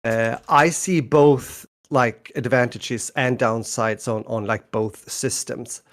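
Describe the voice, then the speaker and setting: A man's voice: mid-range voice, fairly even intonation, takes it slowly. A middle-aged man with a Swedish accent, hosting a casual podcast chat, rambling with restarts and laughs. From Sweden. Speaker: podcast host.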